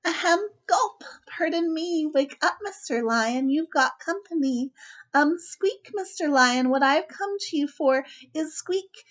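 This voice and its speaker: A woman's voice: high voice, expressive, slow pace, pitched above the performer's own voice. A woman voicing a tiny, meek but plucky brown mouse in a children's storybook, polite and timid in manner. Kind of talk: children's storybook read-aloud.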